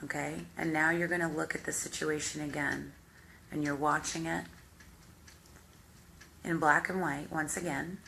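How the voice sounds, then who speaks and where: A woman hypnotherapist with a deep voice, guiding the listener through a visualization in slow, calm, step-by-step instructions. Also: creaky, a little hiss or hum. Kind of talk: guided visualization exercise.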